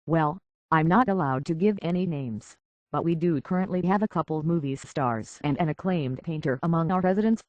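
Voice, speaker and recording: A man's voice: on the high side, normal speed, darkish tone, no echo, lo-fi sound, close to an everyday voice. A man voicing a courteous, anxious robot butler and hotel concierge in a video game, with a British accent and a formal, fussily polite manner. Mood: prim, officious. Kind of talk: scripted video game character acting.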